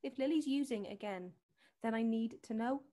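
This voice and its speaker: High-voiced, insistent British sister played by an actress. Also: female voice, normal speed, dark tone, close to an everyday voice. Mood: guarded, suspicious. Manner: insistent, scornful. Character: worried, determined sister confronting a drug dealer. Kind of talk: acted scene from a drama showcase.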